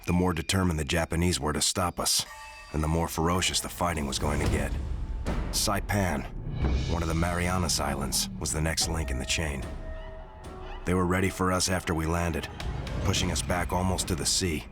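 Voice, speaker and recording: An actor playing a Marine recounting his island campaign in measured, grave narration. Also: low-pitched, fairly even intonation, natural voice. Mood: grave, reflective. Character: reflective American Marine veteran recounting the Pacific campaign. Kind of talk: scripted first-person war game narration. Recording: music under the narration.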